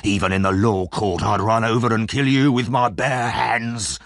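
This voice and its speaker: A man doing a brutal rough robber. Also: fairly low pitch, fairly even intonation, moderate pace, dry recording, clean studio sound, voice pushed up, lightly acted. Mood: threatening. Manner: blunt, threatening. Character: violent brutish male robber.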